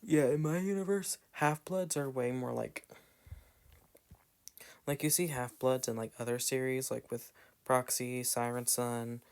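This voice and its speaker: A man's voice: medium pitch, fairly even intonation, a little slow, clean tone. A young man with a Southern American accent, chatting in a meandering way while playing a video game. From Southern United States. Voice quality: meandering with frequent "like" fillers and trailing asides. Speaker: gameplay commentator. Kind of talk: rambling casual commentary.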